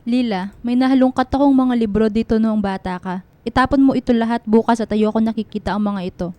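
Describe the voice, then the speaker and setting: Woman acting stern, fast-talking mother. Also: a little high, a little mellow, no room sound, lightly acted. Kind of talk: radio drama acting.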